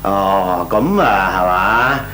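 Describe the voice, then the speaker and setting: A male actor playing a shrewd, mocking company boss in a comic radio drama, with a Hong Kong accent, drawn-out interjections and feigned bafflement. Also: deep voice, sharp tone, slight background noise, full character voice.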